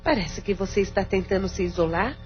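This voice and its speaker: A woman's voice: medium pitch, brisk, close to an everyday voice. A Brazilian woman playing a stern, indignant but supportive middle-aged sister in an audio novela. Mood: stern, concerned. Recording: dull lo-fi audio with music bed.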